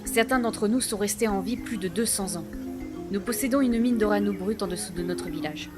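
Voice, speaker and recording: Proud village clan chief, female, played by an actress: ceremonious, measured, average pitch, fantasy audio drama over clear music. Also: natural voice. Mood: solemn, proud. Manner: formal, measured, ceremonious phrasing. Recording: voice under clear background music.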